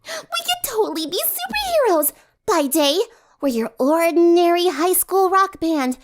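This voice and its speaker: A woman voicing a hyper, excitable teenage cartoon girl with a gushing, dramatic delivery in a story reading. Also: very high-pitched, measured pace, a little hiss or hum, voice pushed up, full character voice. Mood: hyper excitement.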